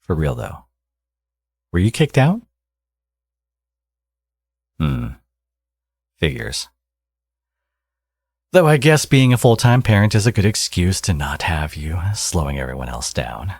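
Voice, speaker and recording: Man acting a low, husky, smug villain. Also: sing-song, slow, pauses often, no room sound, heavily acted. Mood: sardonic. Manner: teasing, sardonic, drawling threats. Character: smug supervillain man, teasing ex-enemy and doting father. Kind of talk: ASMR audio roleplay, intimate acted monologue.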